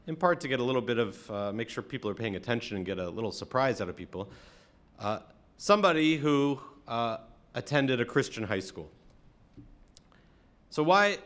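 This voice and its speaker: An American man from Atlanta speaking as a rabbi, giving a conversational, reflective sermon with frequent halting pauses. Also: medium pitch, fairly bright tone. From Atlanta, American South. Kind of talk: sermon, conversational talk to congregation.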